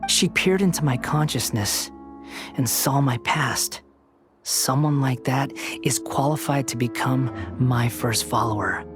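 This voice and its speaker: An actor playing an arrogant, youthful villain who thinks himself a new god, speaking in haughty, mocking game monologue. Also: male voice, medium pitch, measured pace, music in the background, natural voice. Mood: grandiose.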